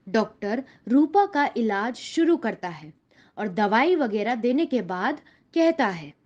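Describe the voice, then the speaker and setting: A female storyteller reads a scripted tale for children. Her voice is moderately high, and the recording is a little muffled and lo-fi. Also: sing-song, slow pace, darkish tone. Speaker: story narrator.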